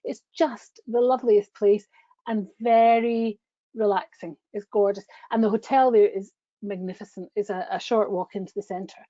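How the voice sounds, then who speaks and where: A woman's voice: mid-range voice, fairly even intonation, normal speed, dark tone, dry recording. Gushing woman on a lo-fi video call. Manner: gushing, chatty, with frequent restarts. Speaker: tour company presenter. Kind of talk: enthusiastic travel presentation over slides. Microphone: webcam on a video call. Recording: dull lo-fi video call audio.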